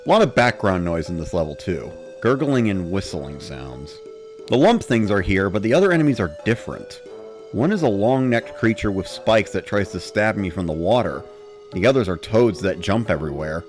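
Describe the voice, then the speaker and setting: A man's voice: deep voice, big pitch swings, moderate pace, soft background music. A man reading a first-person story as a dramatic narrator, in the style of a gamer recounting play.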